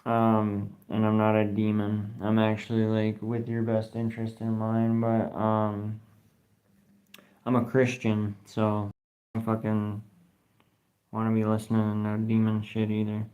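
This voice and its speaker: A man's voice: lowish voice, monotone, measured pace, phone-quality sound. A man hosting a casual live stream from his phone, reading viewer comments aloud in a rambling, slangy way, swearing casually and spelling names out letter by letter. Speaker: livestream host.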